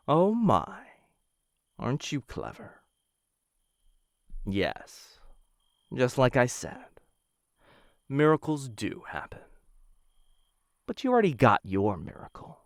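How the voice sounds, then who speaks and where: A man playing a smitten, dangerous assassin of a noble house in an intimate boyfriend roleplay, teasing and coaxing with theatrical pauses. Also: on the low side, no echo, clean studio sound, heavily acted. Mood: amused, insistent.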